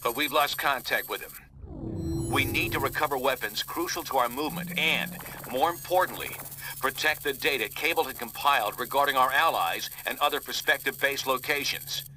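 A man's voice: mid-range voice, normal speed, lightly acted. Man playing a grave commander, noisy background. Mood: grave, urgent. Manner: serious, authoritative. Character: grave male resistance commander briefing his team. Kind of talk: scripted video game mission briefing.

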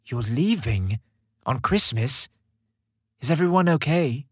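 A devoted young man in a romantic audio story, acted by a man. His medium-pitched voice is husky and earnest, caring yet determined, and recorded dry. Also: animated, slow pace, no echo. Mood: concerned, pleading.

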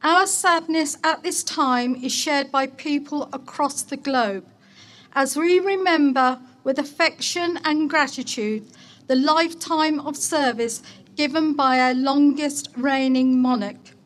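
A woman speaking as a mayor at an outdoor ceremony, reading a formal public address in a solemn, deliberate, declamatory manner. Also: high voice. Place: outdoor market place ceremony.

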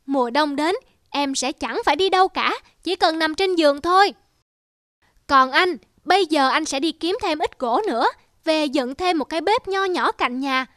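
A woman's voice: sits high, moderate pace, fairly bright tone, no room sound, studio quality. A woman voicing a carefree, boastful young rabbit brother in a children's cartoon, exclamatory and sing-song.

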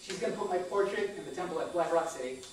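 A woman's voice: fairly low pitch, fast talker, darkish tone. A woman in her thirties reading a personal monologue aloud on a small theater stage. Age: 30s. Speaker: performer at a live stage reading. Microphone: distant camera microphone. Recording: echoey theater, far-off camera, faint noise.

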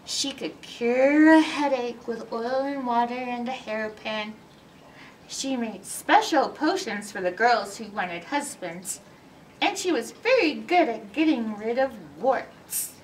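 A middle-aged woman leads story time for small children, taking her time over each phrase. Her fairly high voice swings widely up and down in an exaggerated, teacherly way. She is a little way from the mic. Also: slow pace. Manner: animated, exaggerated, teacherly, asking questions. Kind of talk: read-aloud story time for young children. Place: indoors in a library, by bookshelves. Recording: roomy distance from mic, background noise.

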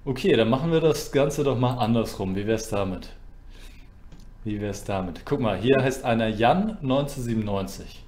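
A man's voice: medium pitch, lively intonation, moderate pace, darkish tone. Man streaming in an echoey room. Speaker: chess streamer and host. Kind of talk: casual livestream chat while playing chess. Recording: roomy, echoing sound.

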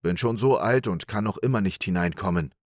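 A man's voice: sits low, fairly quick, no echo, clean studio sound. A man doing a meek old cowherd.

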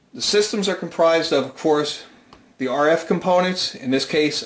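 Man explaining radio gear in echoey room. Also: on the high side. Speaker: amateur radio demonstrator and presenter. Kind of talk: explanatory hobby tutorial. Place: indoors at home, roomy. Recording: roomy, echoing home room.

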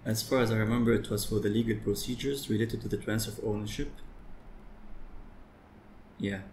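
A man's voice: on the low side, steady tone, fairly quick, a little mellow. A man in his thirties doing an office ASMR roleplay, talking in a subdued, businesslike way. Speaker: ASMR performer playing an office worker. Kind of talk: ASMR office roleplay, phone talk and muttering over paperwork. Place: office with large windows over a street. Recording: roomy office echo, background noise.

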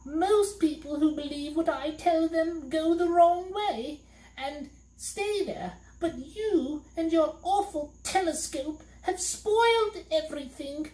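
A woman doing a squeaky sly little demon, storybook read-aloud, slow, echoey room. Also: very animated, darkish tone, heavily acted. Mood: whimpering. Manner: squeaky and gleeful. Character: small furry male demon, sly fibber then sobbing.

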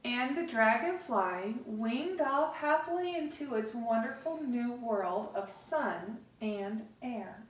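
A middle-aged woman reading a picture book aloud to children, with deliberate, expressive pauses, recorded across a living room. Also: a little high. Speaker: story time host reading to children. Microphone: camera across the room. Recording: echoey room, distant microphone.

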